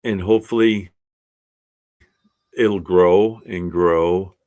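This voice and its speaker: An older man in his sixties with a very low, deep voice talks slowly and unhurriedly to his class. He sounds earnest and plainspoken as an art resilience instructor, encouraging his listeners in a casual way. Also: steady tone, a little mellow, lo-fi sound.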